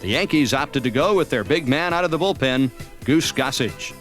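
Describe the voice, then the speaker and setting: An American man reading scripted narration for a sports highlight documentary. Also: expressive, normal speed, fairly bright tone. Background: music.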